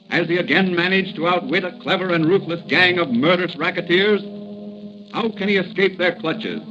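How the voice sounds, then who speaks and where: A man's voice: fairly high pitch, lightly acted. A male announcer narrating a 1940s radio serial in a declamatory, suspenseful manner, on a muffled, hissy old recording.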